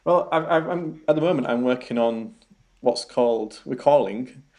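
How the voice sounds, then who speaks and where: A man's voice: mid-range voice, moderate pace, a little mellow. A young man with a Yorkshire accent, a researcher answering interview questions in a hesitant way, full of ums and repeated words. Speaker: robotics researcher guest.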